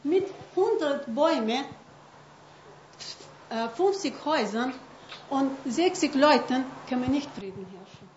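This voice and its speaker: A woman's voice: high voice, expressive, takes it slowly, long pauses, darkish tone, roomy sound, highs cut off, a little hiss or hum. A woman in the audience making an insistent point in non-native, broken German. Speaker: audience member. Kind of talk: comment from the audience.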